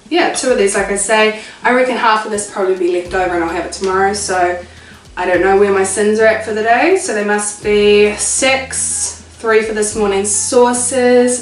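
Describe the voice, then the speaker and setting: A woman's voice: medium pitch, distant mic. Chatty New Zealand woman in a roomy kitchen. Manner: chatty, self-mocking asides to viewers. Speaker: vlogger. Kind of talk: casual cooking vlog. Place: home kitchen.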